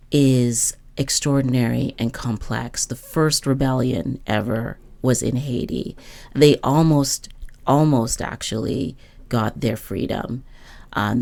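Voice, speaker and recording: A woman hosts a conversational interview in an extremely low register for a female voice. There is a lot of fry in her tone. She is reflective and probing, assembling each question piece by piece. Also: a little mellow. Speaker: host, interviewer.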